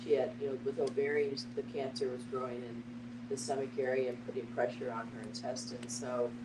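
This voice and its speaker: A middle-aged woman speaks from well back from the microphone in an echoey space with a clear hum. She is earnest and deliberate, stressing her points as she shares a personal account with a group. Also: very deep voice, level tone. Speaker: panel participant, family caregiver. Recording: echoey, distant mic, noisy hum.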